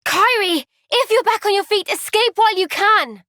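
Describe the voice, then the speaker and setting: An actress voicing a gentle, kind and steady young woman soldier in a game's cutscenes, with a Welsh accent and a soft-spoken, caring, thoughtful way of talking. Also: very high voice, moderate pace, no room sound, clean studio sound, full character voice. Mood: urgent. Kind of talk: video game cutscene dialogue. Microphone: close studio microphone.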